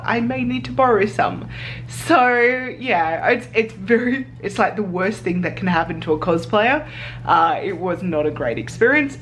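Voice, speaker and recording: A woman's voice: mid-range voice, fairly bright tone. A young woman vlogging in a chatty, bubbly way, laughing often and restarting sentences. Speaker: vlogger and cosplay judge. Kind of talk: casual vlog chat.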